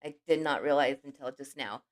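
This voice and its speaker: A woman hosting a livestream with a scratchy, hoarse voice from a cold, chatting casually and greeting each viewer by name. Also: a bass voice, fairly quick. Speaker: livestream host.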